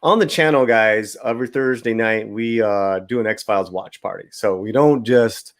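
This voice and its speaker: A man hosting a casual livestream chat over a video call, relaxed and chatty, with loose fillers. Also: lowish voice, moderate pace, no room sound, studio quality. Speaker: host.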